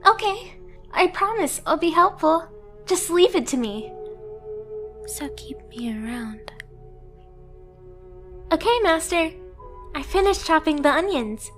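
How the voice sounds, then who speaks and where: A woman's voice: very high voice, big pitch swings, slow, pauses often, a bit bright, faint music behind, exaggerated voice. A woman playing a timid, clumsy young catgirl pet who is eager to please, speaking in a meek, hesitant, apologetic way in an anime-style ASMR roleplay.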